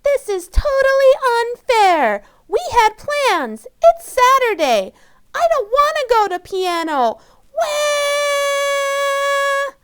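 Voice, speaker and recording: A woman voicing a bossy, excitable little girl in a children's storybook, high, loud and indignant. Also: takes it slowly, exaggerated voice. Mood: furious wailing. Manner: dramatic, indignant.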